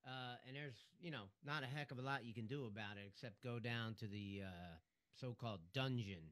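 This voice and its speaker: A man's voice: medium pitch, a little fast, a bit bright. Philadelphia man on talk radio. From Philadelphia area, US. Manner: storytelling, wry, joking. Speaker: radio show co-host, real estate instructor. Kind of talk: casual radio chat.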